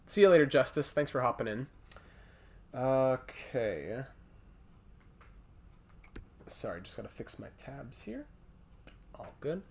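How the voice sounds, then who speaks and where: Male game streamer, mid-range voice, Montreal accent, casual and halting, thinks out loud between replies to chat. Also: mid-range voice, slight background noise. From Montreal, Canada. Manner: thinking aloud, halting, breaking off to reply to chat. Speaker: livestreamer hosting a hockey video game stream.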